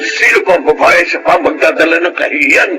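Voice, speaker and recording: A man's voice: a little high, wildly swooping pitch, fairly quick, music in the background. An actor playing a furious, shamed older father in a Tagalog radio drama, berating and exclamatory, with a Filipino accent. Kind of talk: Tagalog audio drama acting.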